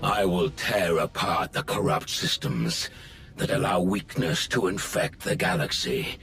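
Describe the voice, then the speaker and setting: An actor playing a menacing, grandiose Sith lord with slow, brooding oratory in a video game. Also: male voice, sits low, a bit bright, soft background music. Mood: defiant, grandiose. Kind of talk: dramatic video game voice acting.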